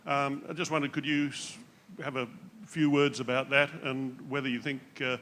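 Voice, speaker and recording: A man's voice: mid-range voice, level tone, normal speed, a bit bright, a little hiss or hum. A man from the audience asking a question, hesitant and stammering.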